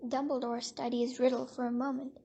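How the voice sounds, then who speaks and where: A woman's voice: high-pitched, level tone, brisk, creaky, warm tone, slight background noise. A woman narrating an audio drama from a script in a dramatic storytelling style.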